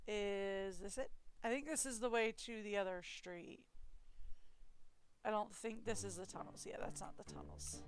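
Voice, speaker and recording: A woman's voice: medium pitch, normal speed, clear, resonant tone. A woman streaming a game live, commenting in a chatty, sarcastic, self-mocking way.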